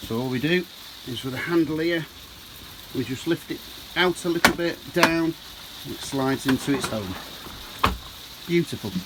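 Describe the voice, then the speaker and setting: A man's voice: fairly high pitch, normal speed, noisy background. A man giving a chatty walkaround tour of a motorhome, filmed on a handheld camera, talking to viewers as folks. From United Kingdom. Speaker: motorhome dealer and reviewer. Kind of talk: walkaround video review. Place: inside a motorhome, rain outside. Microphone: handheld camera mic.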